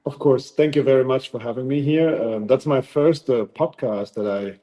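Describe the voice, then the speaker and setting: Man, German accent, mellow dark tone, pauses often, casual guest talk. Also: medium pitch. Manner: thoughtful, many pauses and fillers. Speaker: dog training facility owner.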